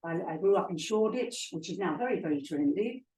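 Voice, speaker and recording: A woman's voice: on the low side, animated, quick pace, mellow. Older London-born woman, roomy hall. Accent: London, softened. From England. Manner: chatty, anecdotal, with frequent fillers and restarts. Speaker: guest speaker. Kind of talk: talk to an audience. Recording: echoey hall, heard from far off.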